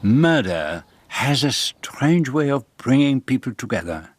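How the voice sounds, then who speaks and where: An actor playing a shrewd, courteous middle-aged detective with a French-speaking Belgian accent, speaking formally and deliberately in a radio drama. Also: male voice, mid-range voice, very animated. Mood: musing, shrewd.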